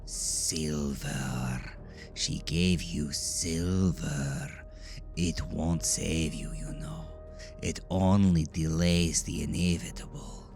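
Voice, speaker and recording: A man voicing an eerie young girl hiding something ancient, flat, metallic and taunting, in an audio drama. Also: rumbling low, extremely slow, faint music behind, heavily acted.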